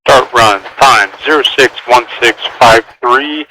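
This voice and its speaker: A man speaks as a military mission controller over air-band radio. His phrasing is clipped and procedural, delivered in short instructions at an average pitch. The transmission is clearly noisy, with static and clicks. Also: unhurried.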